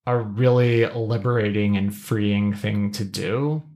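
A man's voice: on the low side, fairly even intonation, slow, a little mellow. Thoughtful man co-hosting a podcast. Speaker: podcast co-host. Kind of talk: casual analytical discussion.

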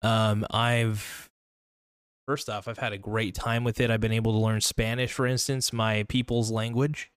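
A man's voice: lowish voice, flat delivery, a little slow, smooth, no room sound, studio quality. A young man hosting a casual solo podcast, rambling through his thoughts with lots of fillers and restarts. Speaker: podcast host.

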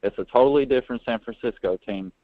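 A man calling in to a sports show, relaxed and friendly, with hesitant fillers. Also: mid-range voice, fast talker. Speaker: caller. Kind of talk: casual sports chat. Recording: tinny dry phone line.

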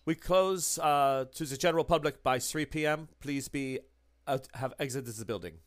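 A man voicing a brisk German museum official who speaks in a raised, clipped, officious way. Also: mid-range voice, close to an everyday voice. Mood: curt.

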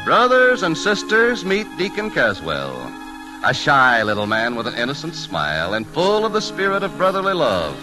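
A man's voice: on the high side, huge pitch swings, normal speed, low-quality recording. A man announcing and narrating in a formal, theatrical 1940s radio style. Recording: old 1940s radio broadcast. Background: music.

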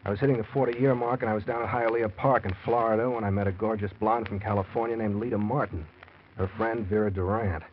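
An actor playing a forty-year-old gambler and pulp writer in a 1940s radio drama, wry and hard-boiled. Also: male voice, lowish voice, fairly even intonation, quick pace, natural voice. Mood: wry confessional. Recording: noisy muffled old radio recording.

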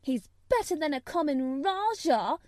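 A woman's voice: sits high, lively intonation, moderate pace, dark tone, no echo, clean studio sound, voice pushed up. A woman voicing a sour, obstinate young girl who retorts fiercely, as in a storybook reading. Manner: defiant, retorting. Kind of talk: audiobook character voice.

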